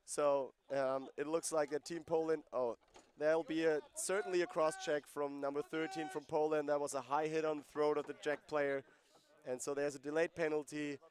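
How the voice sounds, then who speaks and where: A man's voice: fairly high pitch, little pitch movement, normal speed, a little mellow. Man calling a lacrosse game live. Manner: conversational, explains rules and player backgrounds between plays. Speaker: play-by-play commentator. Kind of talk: live sports commentary.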